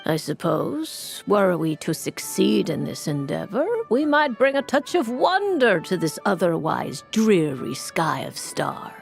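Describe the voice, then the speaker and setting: An aloof witch sage of the stars, haughty and world-weary. Her creaky voice sweeps up and down in formal, theatrical phrases for a fantasy game. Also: lowish voice, big pitch swings, measured pace, few pauses, faint music behind, heavily acted. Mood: lofty, grave.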